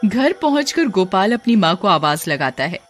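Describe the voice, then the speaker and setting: Female storyteller, scripted children's tale narration, mid-pitched voice, music underneath. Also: sing-song, normal speed.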